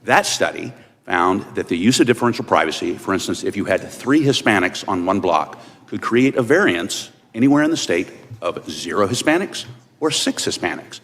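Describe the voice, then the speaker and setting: A man's voice: low voice, very animated, normal speed, a bit bright. A man giving formal, explanatory testimony as an expert witness. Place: large indoor hearing room. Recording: reverberant hearing room.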